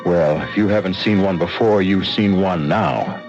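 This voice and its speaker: A macabre storyteller hosting a radio mystery. He speaks low, with theatrical, deliberate menace. Loud music sits under him, and the old broadcast sound is dull. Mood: ominous, wry. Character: macabre, theatrical male host of spooky tales. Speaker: host and narrator. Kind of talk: radio mystery drama narration.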